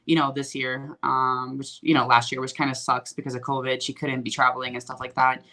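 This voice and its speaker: A woman's voice: a bass voice, little pitch movement, brisk, sharp tone. A young woman from Sweden with a light Swedish accent, chatting loosely as an interview guest and telling stories with lots of fillers. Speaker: guest bodybuilder. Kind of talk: casual interview chat.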